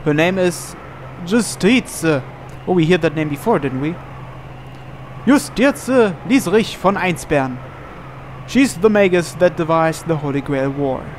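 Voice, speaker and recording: A man's voice: a little high, animated, unhurried, slight background noise. A man reading visual novel narration aloud dramatically, as a let's player.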